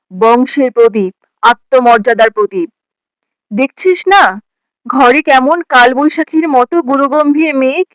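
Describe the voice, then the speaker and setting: Woman reciting as an anguished, high-voiced mother. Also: fairly bright tone, no echo, low-quality recording. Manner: plaintive, bitter, theatrical. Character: anguished young mother lamenting to her newborn daughter.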